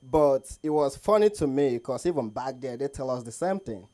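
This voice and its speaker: A man with a Nigerian accent performs stand-up at an open mic. He tells his stories deliberately, in a mid-pitched voice. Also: animated, warm tone. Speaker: stand-up comedian. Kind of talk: stand-up comedy, storytelling. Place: small open mic comedy show with audience.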